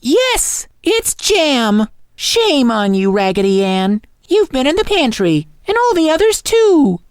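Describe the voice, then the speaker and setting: A man voicing a puzzled, scolding young girl who owns the dolls, in an exclamatory falsetto, for a storybook. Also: huge pitch swings, takes it slowly, no echo, clean studio sound, full character voice.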